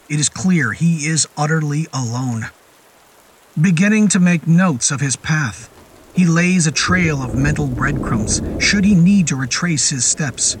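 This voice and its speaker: A man narrating a scripted horror story in a deliberate, literary and descriptive manner. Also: a little high, a bit bright, husky. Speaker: narrator of a horror fiction podcast. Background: music and rain sound effects.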